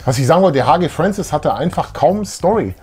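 A man's voice: fairly high pitch, animated, a little fast, a little mellow, husky. A middle-aged man co-hosting a fan show in eager, casual chat, repeating words for emphasis. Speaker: co-host of a retro fan show. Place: small indoor room with collectibles.

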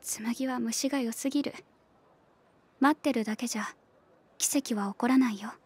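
An actress playing a blunt young woman in a radio drama, a rival friend who speaks in a pointed, chiding way with a husky voice. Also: fairly high pitch, moderate pace, a little mellow. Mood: cool, challenging.

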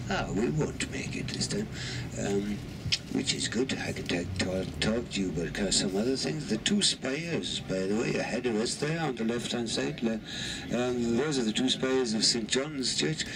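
A man with a Welsh accent giving live tour commentary on a moving coach, chatty and pointing out sights, with frequent ums and restarts. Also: medium pitch. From Wales. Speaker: tour guide. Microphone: PA mic heard from a passenger's camera. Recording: distant voice over loud bus noise. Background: loud road and engine noise.

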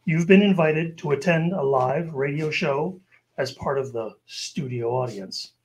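A man narrating an old-time radio show in a solemn, deliberate storytelling manner. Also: fairly high pitch. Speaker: narrator of an amateur radio play. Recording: noisy amateur live recording.

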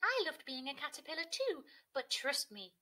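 Woman as a proud, high, bright storybook butterfly. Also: sing-song, moderate pace, exaggerated voice. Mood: encouraging. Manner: delighted, emphatic. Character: happy, proud female butterfly, encouraging to others.

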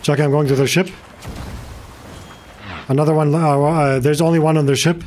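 A man's voice: on the high side, fairly even intonation, moderate pace, darkish tone. Guy who speaks arabic natively, streaming a game, chatty and teasing, bit of music in the back. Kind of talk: casual gaming commentary. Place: small indoor room, streaming setup.

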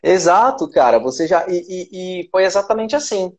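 A man in his thirties from Brazil, a voice actor guest on a video call, explaining things in a relaxed way with lots of repetition. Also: sits high, moderate pace. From Brazil, Campinas. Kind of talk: casual interview chat. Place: small room at home. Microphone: video call with earphone mic.

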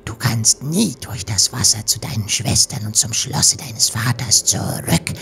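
A woman playing a sinister, scheming sea witch in a fairy-tale audiobook, with a hoarse, breathy whisper and slow, ominous delivery. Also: a bass voice, expressive, a bit bright, slight background noise, exaggerated voice. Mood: ominous, warning.

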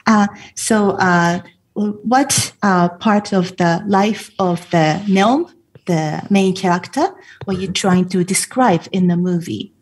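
A woman's voice: medium pitch, very slow, breathy edge, low-quality recording. A woman working as an interpreter at a film festival talk, relaying careful, filler-heavy answers between English and Japanese. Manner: careful, filler-laden delivery.

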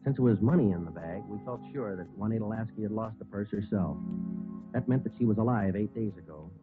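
An actor playing a terse, matter-of-fact police detective in an old radio crime drama, clipped and deadpan, narrating his case. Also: on the low side, quick pace, lightly acted. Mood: deadpan, grave. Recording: muffled old radio recording, music behind.

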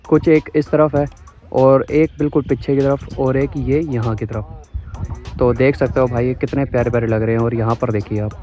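A man's voice: medium pitch, mellow. Chatty man touring a zoo. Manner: chatty and enthusiastic, often exclaiming. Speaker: travel vlogger. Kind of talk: casual walking tour commentary. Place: outdoors at a zoo.

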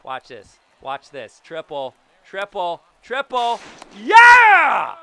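A man in his thirties giving jokey, excitable commentary over a baseball video game, recorded on a boom mic in a small room at home. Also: slow, vocal fry, a little hiss or hum. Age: 30s. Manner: excitable, jokey, bursts into loud yells. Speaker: gaming YouTuber. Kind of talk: gameplay commentary. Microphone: studio mic on boom, webcam framing.

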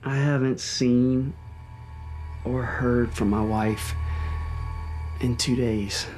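A man in his forties playing an earnest, halting husband of a kidnapped wife in a found-footage horror film. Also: mid-range voice, steady tone, darkish tone, soft background music. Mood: shaken, worried. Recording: noisy audio with insect ambience.

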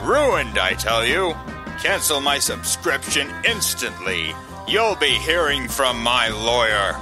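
A man's voice: medium pitch, sing-song, slow, music in the background. A man playing an indignant, pompous architect who complains melodramatically in a comedy radio play. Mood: indignant, ranting. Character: indignant, blustering male architect, business owner. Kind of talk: comedic radio theater acting.

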